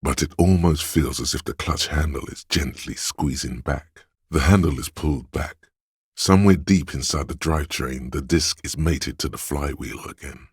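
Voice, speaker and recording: Brooding inner voice for a game, super deep husky man, measured literary narration, bone dry sound. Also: no echo, exaggerated voice. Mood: hushed, wondering. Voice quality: gravelly, husky. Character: man's inner voice, brooding, tactile, mechanically minded.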